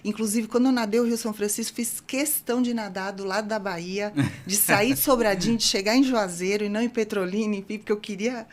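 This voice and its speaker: A woman in her fifties from São Paulo, a guest on an interview podcast, telling her story in a lively way and acting out the dialogue she quotes. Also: medium pitch, fast talker, few pauses. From São Paulo, Brazil. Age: 50s. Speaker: guest, open-water ultramarathon swimmer.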